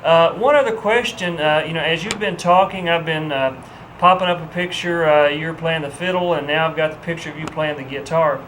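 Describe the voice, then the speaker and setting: A man hosting a local TV interview puts questions to a guest, hesitating often. His voice sits a little high, and a faint hiss sits under it. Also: fairly even intonation, a little fast, fairly bright tone, low-quality recording. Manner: hesitant, reading questions with fillers.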